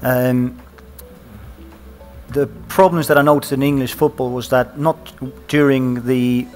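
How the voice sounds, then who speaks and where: A man in his thirties with an Icelandic accent, speaking on a conference stage panel through a headset mic, halting often with fillers and restarts. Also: medium pitch, steady tone, faint music behind. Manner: hesitant, many fillers and restarts. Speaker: panelist, former footballer.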